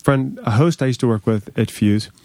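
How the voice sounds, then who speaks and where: A man's voice: medium pitch, moderate pace, a little mellow, no room sound. A man hosting a casual podcast, asking curious questions and poking fun at himself with a loose, filler-heavy delivery. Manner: curious, self-deprecating, lots of fillers. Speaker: podcast host.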